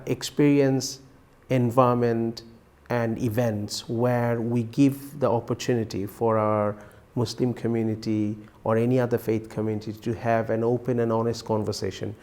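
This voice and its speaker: A man in his forties from Bangladesh, a university teacher, giving a thoughtful, measured personal testimony with small restarts. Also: mid-range voice, gravelly, a little mellow.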